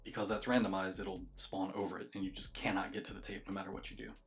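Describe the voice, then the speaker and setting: A man's voice: deep voice, flat delivery, quick pace, soft background music. A man giving a casual, matter-of-fact video game walkthrough, explaining things step by step. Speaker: game guide creator.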